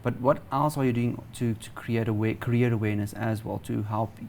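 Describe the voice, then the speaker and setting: A South African man hosts a broadcast interview. His voice is noticeably creaky, at an average pitch. He introduces and questions in a formal presenting style. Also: little pitch movement, a little fast, darkish tone, a little hiss or hum.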